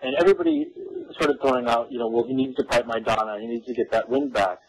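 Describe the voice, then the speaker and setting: A man's voice: mid-range voice, sing-song, fast, breathy edge, rough recording. A man asking long, rambling interview questions.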